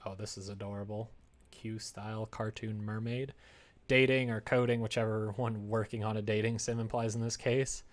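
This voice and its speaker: A man's voice: on the low side, flat delivery, normal speed. A man livestreaming casually, thinking aloud and muttering to himself with playful little asides as he browses. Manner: muttering to self, playful asides and sound effects. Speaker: livestreamer making a visual novel.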